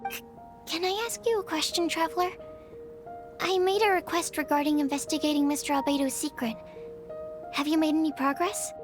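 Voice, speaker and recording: A woman playing a studious young alchemist from a game, speaking in a very high voice with formal, polite wording. She stumbles over her words when flustered, and game music plays behind her. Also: fairly even intonation, brisk, long pauses, close to an everyday voice. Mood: earnest. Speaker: game character. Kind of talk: video game cutscene dialogue.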